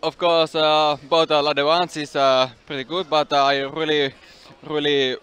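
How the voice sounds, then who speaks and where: A man with a Finnish accent answering interview questions in halting, broken English with many uhs. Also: a little high, little pitch movement, fairly bright tone, smooth. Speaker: athlete interviewee. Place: outdoors at a climbing event.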